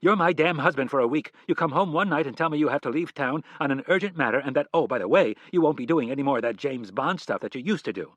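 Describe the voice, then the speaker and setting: A man's voice: mid-range voice, animated, dry recording, lo-fi sound, natural voice. Fierce angry wife, as a man puts her on. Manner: rapid, accusing questions. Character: fiery young wife, demanding and angry. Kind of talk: audiobook character reading.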